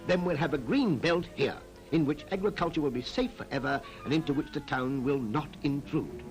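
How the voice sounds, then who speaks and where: An actor playing a confident, persuasive town planner in a 1940s animated public information film, speaking in an emphatic, explanatory way. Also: male voice, on the high side, moderate pace, lightly acted. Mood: brisk, explanatory. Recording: old 1940s film soundtrack, music behind.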